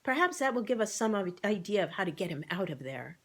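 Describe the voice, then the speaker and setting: A woman's voice: medium pitch, big pitch swings, fast, husky. Woman as a firm, sensible storybook cow. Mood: worried, reasoning. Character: brave, sensible motherly female cow.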